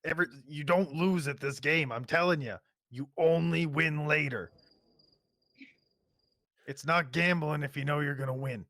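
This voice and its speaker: A man playing a cocky, smooth-talking warlock gambler in a tabletop game, coaxing and persuasive. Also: fairly high pitch, normal speed, fairly bright tone, no echo, clean studio sound. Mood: smug, persuasive. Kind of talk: in-character tabletop roleplay.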